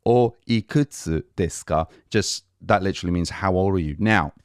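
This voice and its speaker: A man's voice: low voice, normal speed, smooth, dry recording, studio quality. Chatty man hosting a language podcast. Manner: chatty, enthusiastic, switches between English and Japanese phrases. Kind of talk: casual conversational lesson.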